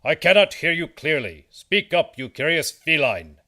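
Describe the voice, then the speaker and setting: A man voicing a busy, pompous, dismissive town mayor in a children's storybook, impatient and loudly declaiming. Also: a little high, sing-song, moderate pace, pitched above the performer's own voice. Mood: loud, irritated.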